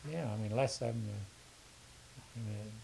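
A man's voice: fairly low pitch, moderate pace, vocal fry, low-quality recording. An older man answering interview questions casually, in a hesitant, rambling way that often repeats words. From southern United States. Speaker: interviewee, education consultant. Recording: faint steady hiss.

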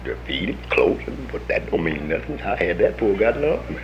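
Deep-voiced man in his fifties, lively storyteller. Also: fast talker, a little hiss or hum. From United States. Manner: folksy, acts out the voices in his stories. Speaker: interviewee, army veteran. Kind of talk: oral history storytelling.

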